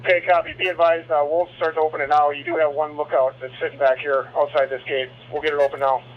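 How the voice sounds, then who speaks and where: Higher-voiced male officer on muffled radio. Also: little pitch movement, fast. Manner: terse, procedural. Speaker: police officer in the field. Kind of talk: radio status updates. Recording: slightly muffled, noisy police radio.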